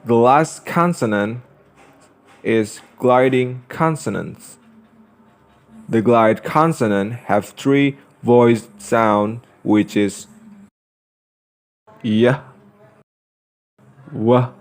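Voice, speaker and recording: A young man reads a scripted lesson as a student presenter, enunciating carefully and deliberately. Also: medium pitch. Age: young adult.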